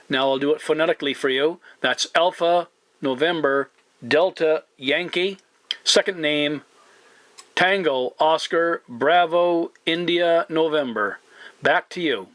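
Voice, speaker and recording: A man with a bright, forceful voice on the higher side, recorded dry and close. He speaks as a CB radio operator, formal and announcer-like, repeating call signs and places as he makes contact. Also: dry recording. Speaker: CB radio operator hosting a video channel.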